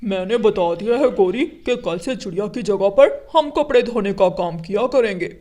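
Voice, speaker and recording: A bossy male crow from a children's cartoon, performed by a woman. He is a bully with a curt, commanding delivery. The pitch sits in a middle female range. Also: animated, normal speed, warm tone, lightly acted. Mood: smug.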